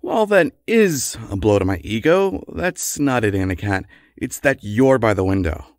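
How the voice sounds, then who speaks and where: Male actor as long-suffering worried husband, wry, lively intonation, dry recording, audio drama. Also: fairly low pitch, moderate pace, no echo. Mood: exasperated. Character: exasperated, worrying husband of a secret superheroine. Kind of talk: audio comedy drama acting.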